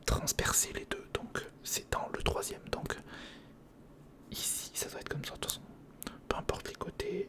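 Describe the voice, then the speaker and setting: An ASMR host whispering breathily and close to the mic, thinking aloud to the listener through a step-by-step build. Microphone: close recorder or studio condenser microphone.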